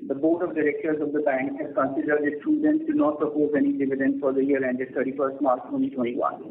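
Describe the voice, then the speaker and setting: Over an echoey, phone-like connection, a man with an Indian accent delivers a scripted financial presentation. His voice is very creaky, and he reads as a company executive would. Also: extremely high pitch. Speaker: bank executive giving earnings remarks. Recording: narrow tinny phone line, echo, faint noise.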